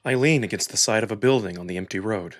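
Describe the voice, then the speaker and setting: A man's voice: lowish voice, quick pace, natural voice. A man playing a feverish, fearful young man, narrating his nightmares in an intense, emotional first-person style. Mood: hushed dread.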